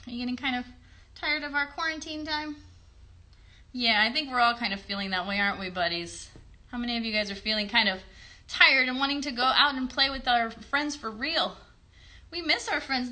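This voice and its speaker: High, bright-voiced woman hosting for children. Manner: animated, encouraging, talks to small children. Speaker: children's livestream host and puppeteer. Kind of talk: children's singalong and chat to young viewers. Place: bedroom, indoors. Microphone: phone at about arm's length or a little more.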